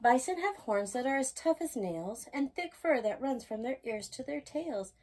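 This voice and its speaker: A woman reading a rhyming children's picture book aloud as a storytime host, with expressive, singsong emphasis. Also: high voice, normal speed, slight background noise.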